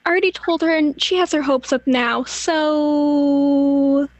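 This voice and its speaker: A woman plays a cajoling sister in a radio drama. Her voice sits high and is recorded very dry. She breezily pushes for favors. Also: fairly even intonation, slow, dry recording. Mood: breezy, cajoling. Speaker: radio play actor. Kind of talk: radio play acting.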